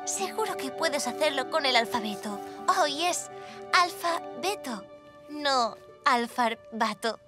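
Cartoon casting: gentle, instructive young girl, high, slow and expressive. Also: over music. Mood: patient encouragement. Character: kind, encouraging, well-mannered young girl. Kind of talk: animated series acting.

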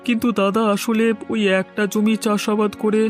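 A man's voice: high voice, completely flat delivery, normal speed, faint music behind, lightly acted. A man voicing a thin, meek young farmer in a village cartoon, speaking hesitantly but eagerly. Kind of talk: cartoon voice acting.

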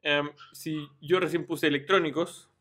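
A man's voice: on the high side, steady tone, measured pace, fairly bright tone. A man, talking viewers through a screen-share tutorial in an informal way, often checking in with "ya" and restarting his phrases. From Chile. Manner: informal, addresses viewers directly, frequent "ya" and restarts. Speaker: online business youtuber.

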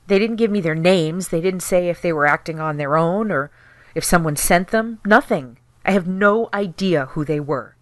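A woman's voice: on the low side, no echo, lightly acted. A woman playing an anxious, frank estranged wife in an audio drama, pleading and insistent with emotion.

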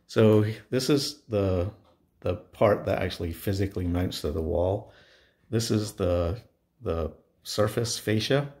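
A man giving a casual how-to narration of a home installation, explaining step by step with halting pauses mid-sentence. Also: low voice, darkish tone. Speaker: DIY home installer presenting his project. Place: indoors in a home.

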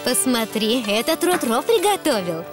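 A woman playing a gentle, caring mother donkey in a preschool cartoon, encouraging and softly cautioning. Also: on the high side, sing-song, moderate pace, over music. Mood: proud.

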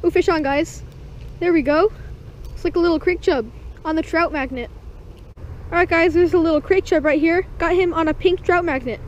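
An excited fishing vlogger narrating catches on a creek bank, calling out to the viewers as guys, recorded on a body-worn action camera. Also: extremely high pitch, normal speed, long pauses. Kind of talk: excited first-person fishing commentary. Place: outdoors at a small creek bank. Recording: dull lo-fi camera audio with faint noise.

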